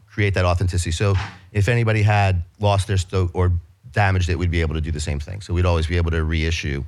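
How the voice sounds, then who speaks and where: A man's voice: low-pitched, level tone, fast, a little mellow, a little hiss or hum. A man with a New York accent, a business guest talking in a casual interview, eagerly explaining his company with many restarts and fillers.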